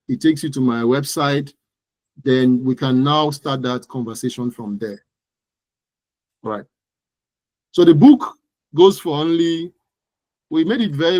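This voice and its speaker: Nigerian guy as expert guest on a show, chatting, medium pitch, pretty dry recording. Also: a little mellow, no room sound, poor audio. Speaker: interview guest, digital marketing expert. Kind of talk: conversational interview answers.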